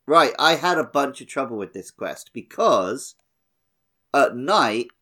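Animated man in casual podcast chat. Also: medium pitch, takes it slowly. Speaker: podcast co-host.

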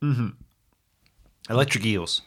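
A man playing in an improvised audio drama, chatting casually and haltingly as he role-plays. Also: medium pitch, fairly bright tone.

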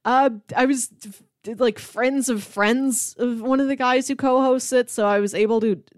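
A woman's voice: on the high side, steady tone, normal speed, no echo, studio quality. A woman co-hosting a podcast in casual, conversational chat. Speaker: podcast co-host.

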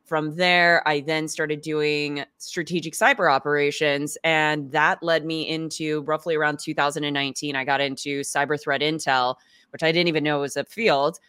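Podcast co-host, young adult woman, deep and smooth, energetic chat, dry close sound. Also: normal speed, dry recording. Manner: energetic, fluent, frequent "like".

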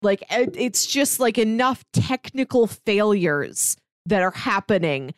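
A woman's voice: medium pitch, animated, measured pace, no echo, studio quality. An American woman podcast co-host retelling stories in casual, rambling and emphatic conversation. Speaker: podcast co-host discussing comics and books.

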